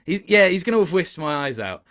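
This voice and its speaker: A man's voice: high-pitched, big pitch swings, brisk, fairly bright tone. A man chatting casually as an interview guest, rambling and hesitant, often restarting and repeating his words. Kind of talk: casual chat.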